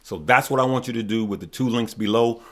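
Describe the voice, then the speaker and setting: A man's voice: mid-range voice, quick pace, fairly bright tone. A man hosting a stock market video, losing his voice, who asks questions and then answers them himself and calls his viewers good people. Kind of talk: casual finance talk to viewers.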